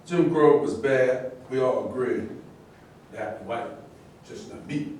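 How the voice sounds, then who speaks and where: A man's voice: mid-range voice, slow, darkish tone. A man acting in a staged reading, performing dialogue from a script. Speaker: actor in a staged play reading. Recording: echoey room, distant mic, faint noise.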